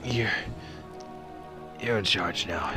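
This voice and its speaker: A man playing a wounded, dying Marine captain in an audio drama, struggling to speak in halting, strained phrases with stoic reassurance. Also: on the low side, over music. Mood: pained, resigned.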